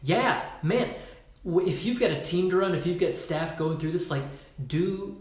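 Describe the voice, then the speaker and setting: A man's voice: high-pitched, lively intonation, normal speed, reverberant. A man leading a Facebook Live talk as a workshop leader, emphatic and casual, swearing now and then and acting out postures as he explains.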